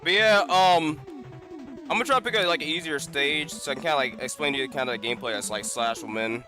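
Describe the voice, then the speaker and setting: A man's voice: a little high, fast talker, music in the background. A man doing casual live-stream commentary over gameplay, rambling with lots of fillers and restarts. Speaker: gaming streamer.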